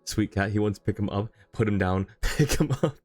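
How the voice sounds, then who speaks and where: A man's voice: on the low side, fairly quick, a little mellow, no room sound. A man chatting casually on a game livestream, thinking aloud and rambling as he reads chat, with music behind him. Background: video game music.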